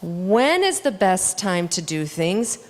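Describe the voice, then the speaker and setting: A woman's voice: on the low side, very animated, slow pace. A woman voicing an earnest, questioning, kind young boy in a children's story, in an echoey hall. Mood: hopeful. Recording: echoey hall with long reverb.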